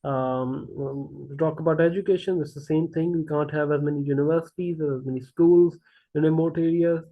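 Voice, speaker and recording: A man joins an online panel over a tinny, phone-like connection. His voice is slightly high. He speaks haltingly, with frequent fillers. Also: fairly even intonation. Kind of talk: casual panel discussion.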